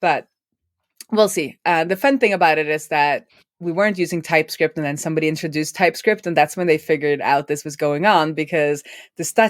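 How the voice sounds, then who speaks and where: A woman's voice: deep voice, no room sound. Chatty woman with a head cold. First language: Norwegian. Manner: rambling, self-interrupting, laughs often. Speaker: co-host. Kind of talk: casual chat.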